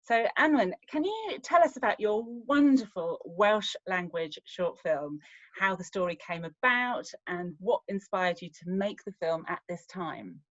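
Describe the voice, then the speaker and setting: A woman hosts an online filmmaker Q&A, asking gentle and appreciative questions in a mid-range voice. The sound is dull and lo-fi. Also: mid-range voice, lively intonation, unhurried. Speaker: interviewer host. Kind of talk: online Q&A interview.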